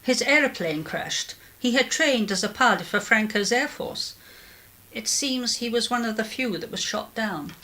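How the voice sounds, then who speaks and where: A woman's voice: on the high side, animated, normal speed, sharp tone. An actress playing a genteel, regretful middle-aged clergyman's wife in a 1930s radio drama, speaking in a formal, hesitant way. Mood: wistful.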